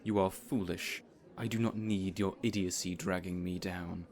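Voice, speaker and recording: A man playing a cold, contemptuous supervillain in an audio roleplay, speaking in a haughty, deliberate, threatening manner. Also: sits low, fairly even intonation, a little mellow. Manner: menacing, slow, haughty, deliberate.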